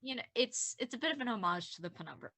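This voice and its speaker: Bright, chatty young woman podcaster. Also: on the high side, animated, quick pace. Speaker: podcast writer. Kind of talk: casual post-show group chat.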